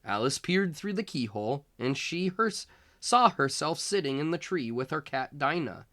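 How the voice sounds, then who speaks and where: A man who works as a tutor, reading a children's storybook aloud in an expressive, measured storytelling manner. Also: a little high, no echo, clean studio sound.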